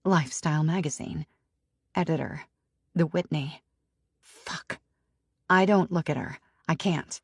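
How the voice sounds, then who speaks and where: A woman narrating a romance audiobook in first person with an expressive, emotionally charged reading. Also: deep voice, unhurried, gravelly, long pauses, slightly rough, no echo, studio quality.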